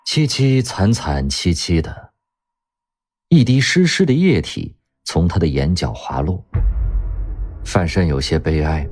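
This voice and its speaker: Man, narrator, radio drama, very low voice, expressive, wry storytelling, faint music. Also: unhurried, pauses often. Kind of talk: scripted audiobook-style narration.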